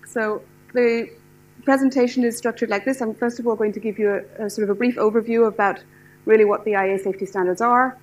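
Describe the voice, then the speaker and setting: A middle-aged woman from Ireland with an Irish accent, lecturing a class in a hall, talking fast and eagerly with many fillers and restarts. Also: fairly high pitch, steady tone, slight background noise. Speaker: lecturer, standards specialist. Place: lecture hall with seated audience.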